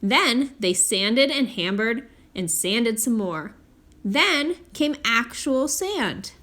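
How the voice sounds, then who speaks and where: A woman reading a children's picture book aloud in an expressive, deliberate storytelling manner with drawn-out emphasis. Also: a little high. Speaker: storytime reader.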